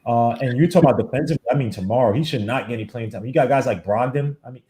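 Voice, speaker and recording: A man hosting a podcast, arguing his point in a fast, emphatic, animated way. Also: mid-range voice. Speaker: podcast host. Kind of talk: casual sports debate. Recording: echoey room.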